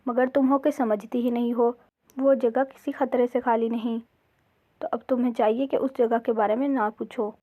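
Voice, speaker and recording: A woman's voice: a little high, steady tone, moderate pace, lightly acted. A woman voicing a poor, principled village husband, earnest in manner, in a cartoon storybook tale. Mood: admonishing. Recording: slightly dull, lo-fi sound.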